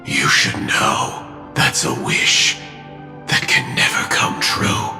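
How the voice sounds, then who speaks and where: The slow, coldly taunting dark figure who looms over the hero in a game's dream sequence, fatalistic words echoing. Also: male voice. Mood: scornful. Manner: ominous, deliberate, taunting. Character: grim, fatalistic male harbinger of death, coldly mocking. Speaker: voice actor in a game cutscene. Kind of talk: scripted dramatic game dialogue. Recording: echoey space under clear music.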